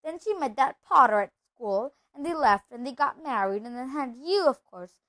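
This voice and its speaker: Lady doing a bitter storybook aunt, shrill and high, ranting away all resentful. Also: lively intonation, moderate pace, sharp tone. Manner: ranting, emphatic.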